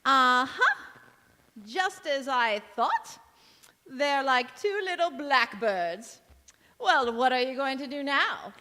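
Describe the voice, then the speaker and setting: A snooty, meddling lady played by a woman from an old radio comedy, affected and melodramatic. Her high voice is sharp and swoops widely, ringing in a large hall. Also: animated, slow, heavily acted. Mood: smug, nosy. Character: meddling, haughty woman, smugly critical and easily offended. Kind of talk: golden-age radio sitcom acting on stage. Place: large indoor hall, live stage performance. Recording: echoey hall, community theatre stage.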